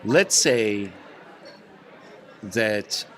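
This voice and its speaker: A man's voice: lowish voice, lively intonation, extremely slow, fairly bright tone, a little hiss or hum. A man chatting and joking casually as a panelist on a podcast.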